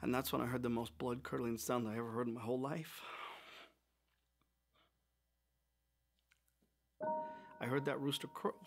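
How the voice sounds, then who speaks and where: A man's voice: medium pitch, creaky, lightly acted. A man playing a remorseful, impulsive fisherman disciple in a first-person dramatic monologue, confessing with rising emotion. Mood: grieving. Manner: confessional, swings from wry to anguished outbursts. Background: instrumental music.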